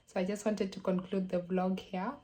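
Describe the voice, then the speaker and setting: A woman talking casually in a travel vlog, unhurried and soft-spoken, with light laughs between phrases, recorded on a phone held at arm's length. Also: mid-range voice, little pitch movement. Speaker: travel vlogger.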